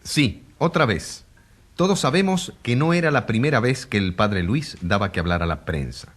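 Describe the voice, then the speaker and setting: A grave radio reporter narrating a drama. His husky, mid-pitched voice swings expressively in a declamatory, rhetorical delivery. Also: expressive, normal speed, fairly bright tone. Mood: grave, dramatic. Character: grave male radio reporter covering a controversial trial.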